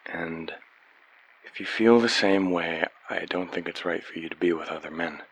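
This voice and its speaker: A man's voice: low-pitched, moderate pace, slightly rough, rough recording. A man playing an earnest, lovestruck young painter in a radio play, plain-spoken and sincere. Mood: earnest, pleading. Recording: faint background hiss.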